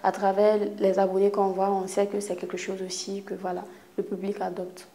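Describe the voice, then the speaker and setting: A woman interviewer asks long, probing questions and answers the guest with brief acknowledgments. Her voice is mellow and dark, at an ordinary pitch. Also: monotone, a little fast. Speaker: interviewer host.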